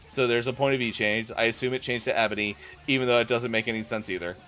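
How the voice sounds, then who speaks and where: A man's voice: mid-range voice, steady tone, fast talker, pushed and bright. A man doing a comic dramatic reading as a streamer, with exasperated, incredulous asides. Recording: music playing behind the voice. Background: music.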